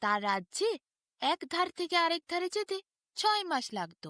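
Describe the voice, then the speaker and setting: A woman's voice: very high voice, sing-song, sharp tone, no room sound, clean studio sound, voice pushed up, full character voice. A woman voicing a lazy but crafty barber spinning tall tales in a sly, boastful way. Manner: sly, boastful, drawn-out storytelling.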